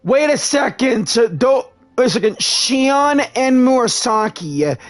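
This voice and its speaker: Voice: medium pitch, lively intonation, a little slow. A gaming streamer reading dialogue aloud and reacting casually and expressively during a live playthrough.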